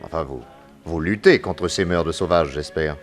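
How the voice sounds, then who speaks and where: Deep-voiced, composed detective. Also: male voice, moderate pace, faint music behind. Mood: probing. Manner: polite, probing, self-assured. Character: famous detective, a composed, principled, courteous man. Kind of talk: 1960s French radio drama acting.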